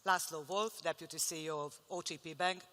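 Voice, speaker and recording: A woman's voice: low-pitched, steady tone, fairly quick, a bit bright. A woman from Hungary moderating a panel, friendly and conversational. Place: large conference hall. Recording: reverberant conference hall.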